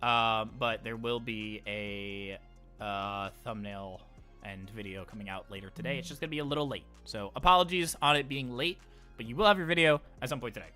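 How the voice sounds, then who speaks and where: A man's voice: on the low side, fairly quick, sharp tone, faint music behind. A man hosting a casual livestream, chatting in an informal, rambling, jokey way. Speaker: livestream host.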